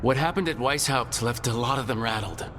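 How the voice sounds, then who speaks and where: A man's voice: medium pitch, fairly quick, fairly bright tone, slight background noise, natural voice. A man playing an earnest hero in scripted fantasy game dialogue. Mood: troubled, weary. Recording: long reverb, music behind.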